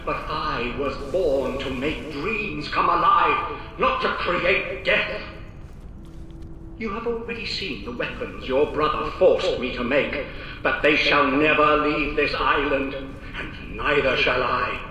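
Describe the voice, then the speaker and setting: An actor playing an embittered, defiant inventor in a video game, grandiose and desperate, declaiming theatrically through a booming echo. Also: male voice, takes it slowly, bright, forceful tone, a little hiss or hum. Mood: bitter, proud. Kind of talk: video game villain monologue. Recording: heavy echo, long reverb.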